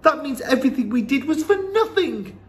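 A man's voice: extremely high pitch, animated, moderate pace, pitched above the performer's own voice. A man voicing an anxious, eager young boy who has been turned into a mouse, exclaiming and questioning in a storybook reading. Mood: distressed protest.

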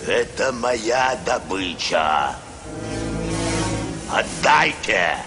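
A man voicing an arrogant, menacing tiger villain in an animated film, imperious and gravelly, over loud music. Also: on the low side, drawn-out words, heavily acted. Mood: menacing demand. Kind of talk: Soviet animated film acting.

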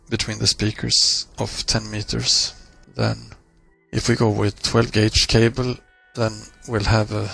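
Male tutorial presenter, husky and fairly flat in intonation, measured explanatory delivery. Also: on the low side. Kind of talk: screencast software walkthrough, explanatory.

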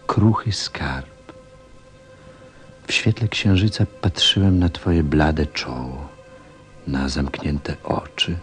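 Deep-voiced, unhurried, tender pilot. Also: male voice, a little mellow. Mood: tender, moved. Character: tender, thoughtful stranded pilot, a grown man. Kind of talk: radio play acting and first-person narration.

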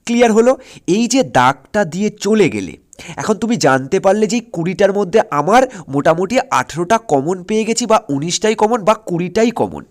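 A man's voice: high-pitched, expressive, a little fast. A man with an Indian Bengali accent from West Bengal teaching an exam-prep lesson, repeating his points and often checking that students follow. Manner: instructive, repeats points and keeps checking understanding with short questions. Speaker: exam-prep history teacher on an online channel. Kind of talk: informal lesson, explaining exam strategy step by step.

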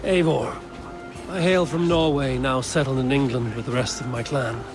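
An actor playing a gruff, impatient Norse warrior with a Scandinavian accent and a terse, deadpan manner. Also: male voice, mid-range voice, moderate pace, over music, lightly acted. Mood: matter-of-fact.

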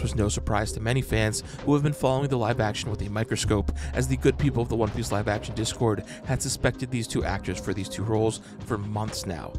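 A man hosting an explainer, reading scripted narration in a breakdown style. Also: mid-range voice. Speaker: host of fan breakdown channel. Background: background music.

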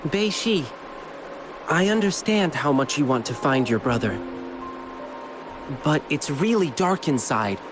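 A young video game hero with a high, boyish pitch and animated delivery. He is earnest, protective and politely reassuring, with music playing behind him. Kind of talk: scripted video game voice acting. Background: background music.

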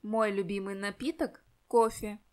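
A woman giving slow, careful scripted example answers in a language lesson. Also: medium pitch, fairly even intonation.

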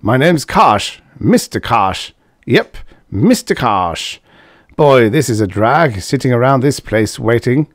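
A man voicing a brash, tipsy young male drill leader who hiccups and barks orders, in a lively, loud way. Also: medium pitch, slow, dry recording, studio quality, voice pushed up. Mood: tipsy, boastful. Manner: boastful, gruff barking orders.

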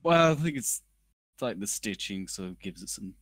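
A man's voice: on the low side, lively intonation, normal speed, a bit bright, a little hiss or hum. A man giving joking, casual commentary on game cutscenes, playful and given to riffing on what he watches. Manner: playful, giggly, riffing on lines. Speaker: video commentator. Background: faint music from the game.

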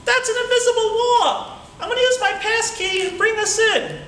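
A man's voice: extremely high pitch, moderate pace, sharp tone, pitched above the performer's own voice. A man voicing a snappy, bossy talking monkey from outer space in a tall tale, exclaiming impatient demands. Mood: impatient, commanding. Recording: distant camera in echoey, noisy room.

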